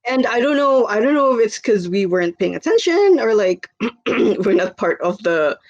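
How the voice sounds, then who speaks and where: A woman's voice: fairly high pitch, big pitch swings, normal speed, lo-fi sound. A woman in her twenties from the Philippines, a podcast guest chatting casually with lots of fillers and easy laughter. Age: 20s. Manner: rambling, filler-heavy, laughs easily. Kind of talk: casual chat.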